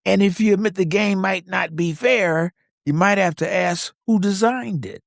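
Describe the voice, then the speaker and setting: A man's voice: high voice, normal speed, vocal fry, no echo, clean studio sound. A man co-hosting a podcast, giving scripted, conversational commentary in short, wry statements. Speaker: podcast co-host.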